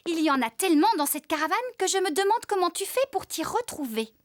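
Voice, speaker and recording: A woman voicing a bossy, tidy and caring farmer in a children's cartoon, with a brisk, expressive manner. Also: very high-pitched. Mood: bossy, exasperated. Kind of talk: French children's cartoon dubbing.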